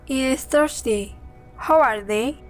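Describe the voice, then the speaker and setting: A woman voicing a worried, insistent aunt, with a high, pressing, distant voice. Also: slow, soft background music.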